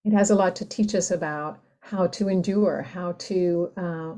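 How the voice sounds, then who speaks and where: A woman speaking as a poet guest, explaining her craft informally and reflectively, pausing to choose her words. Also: on the low side, warm tone. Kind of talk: conversational explanation of writing craft.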